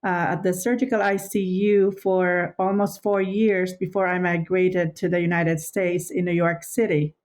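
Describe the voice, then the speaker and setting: A woman's voice: lowish voice, flat delivery, normal speed, few pauses, darkish tone. A woman in her fifties or sixties with a Filipino accent, a native Tagalog speaker, chatting casually about herself as an interview guest. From Philippines. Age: 50s or 60s. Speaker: podcast guest, nurse director. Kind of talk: casual autobiographical chat.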